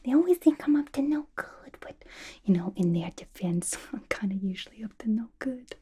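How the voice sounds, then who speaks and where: Casting: woman acting as a mischievous young villainess inmate for ASMR roleplay, breathy whisper, soft mellow tone, gossipy and manic. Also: a little high, lively intonation, moderate pace. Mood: mischievous, chummy. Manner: playful, slangy, conspiratorial gossiping. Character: manic, chatty, mischievous young female villain locked in an asylum.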